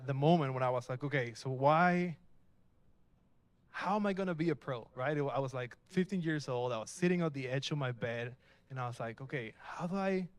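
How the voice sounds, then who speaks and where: A man's voice: mid-range voice, no room sound. A young adult man from Mexico gives a casual, upbeat talk at a live tech meetup, asking the audience questions as he goes. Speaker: meetup speaker. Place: event space with a live audience.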